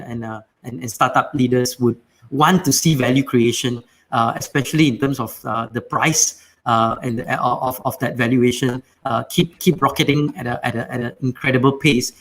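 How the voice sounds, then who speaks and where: A man's voice: medium pitch, fairly bright tone. A man hosting an interview, asking long, rambling questions full of fillers. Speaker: podcast host.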